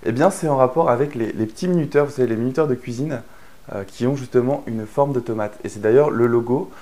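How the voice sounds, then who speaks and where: A man's voice: medium pitch, quick pace, dark tone. A man from France explaining a productivity method in a chatty online lesson, with frequent fillers and restarts. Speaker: online course instructor. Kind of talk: explanatory course narration.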